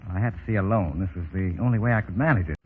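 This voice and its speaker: A man's voice: sits low, rapid-fire, close to an everyday voice. An actor playing a tough, cocky undercover ex-policeman in a 1930s radio drama, with clipped, taunting talk. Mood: confiding. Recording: noisy muffled 1930s radio recording.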